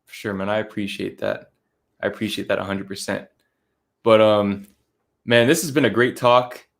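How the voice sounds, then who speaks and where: A man hosting a live stream, who talks in a relaxed, friendly and casual way. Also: on the low side, moderate pace, gravelly. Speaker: host.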